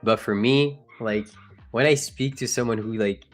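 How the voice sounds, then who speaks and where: A man's voice: mid-range voice, moderate pace, faint music behind. A young man from Montreal with a Montreal French-Canadian accent, chatting casually and rambling as a livestream host, laughing often and talking to his chat.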